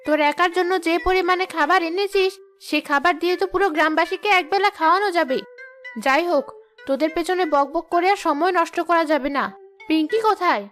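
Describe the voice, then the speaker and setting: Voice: very high-pitched, quick pace, a bit bright, soft background music. A performer voicing a bossy female ghost teacher in a children's cartoon, scolding and sarcastic.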